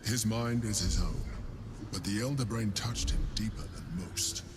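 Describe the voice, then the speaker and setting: A protective, authoritative guardian voiced by a man in a video game. His delivery is calm, measured and fairly low, with noise clearly audible behind it. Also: natural voice. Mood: calm, firm. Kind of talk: dramatic video game acting.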